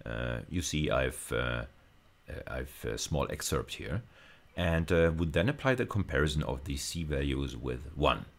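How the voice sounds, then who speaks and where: Male university lecturer, very deep bass voice, step-by-step technical explanation, repeated and rephrased phrases. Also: lively intonation, measured pace, creaky.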